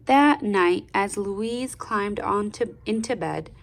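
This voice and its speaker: A woman reads a storybook aloud like a teacher guiding young listeners. Her pace is very slow and deliberate, and her voice has a noticeable creak. She is expressive and pauses to prompt the child. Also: medium pitch. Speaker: speech therapist reading aloud to children.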